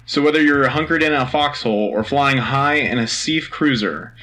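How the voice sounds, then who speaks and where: A man's voice: mid-range voice, normal speed, sharp tone, close to an everyday voice. A man playing a frontline war correspondent hosting a scripted wartime radio news broadcast. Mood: focused, serious. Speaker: radio host.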